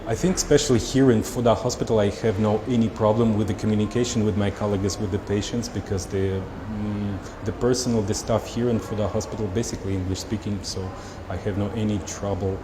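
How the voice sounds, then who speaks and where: A man from Moscow with a Russian accent, answering interview questions as a doctor in halting, simple non-native phrasing. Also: fairly low pitch, steady tone, background noise. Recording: roomy echo with music underneath.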